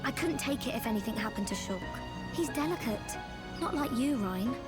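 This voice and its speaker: A woman's voice: a little high, lively intonation, moderate pace, natural voice. An actress playing a protective, strong-willed young woman in a video game cutscene, firm and plain-spoken. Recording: game music under the voice. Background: loud background music.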